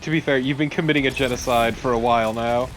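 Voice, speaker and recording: Medium-pitched man, dryly joking co-commentator. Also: fairly even intonation, quick pace, a little hiss or hum. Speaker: co-commentator on a game playthrough. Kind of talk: casual banter.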